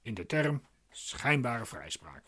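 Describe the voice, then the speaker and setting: A man's voice: medium pitch, very animated, slow pace, a bit bright, heavily acted. An actor playing a glib, pedantic man explaining legal procedure in a radio play, insistent and didactic. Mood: persuasive, pedantic.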